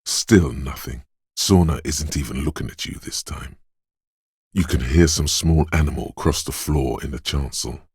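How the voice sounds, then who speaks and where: A man narrating a video game as a grave inner voice of the senses, measured, deliberate and ominous. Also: rumbling low, a little mellow, breathy edge, no room sound, studio quality, full character voice. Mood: grave, hushed. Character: solemn male inner voice of heightened senses, ominous and watchful. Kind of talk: atmospheric second-person scripted narration.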